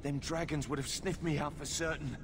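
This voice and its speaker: A man's voice: a little high, fairly even intonation, a little fast, lightly acted. Casting: male actor as nervous common fellow in hiding, cautious folksy talk, fantasy game, noisy surroundings. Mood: nervous relief. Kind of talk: video game cutscene acting.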